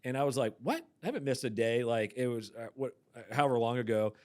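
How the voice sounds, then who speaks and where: A man's voice: medium pitch, expressive, a little mellow. Chatty man in his forties. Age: 40s. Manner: chatty, quick asides, laughs often. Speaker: podcast host, pastor. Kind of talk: casual chat.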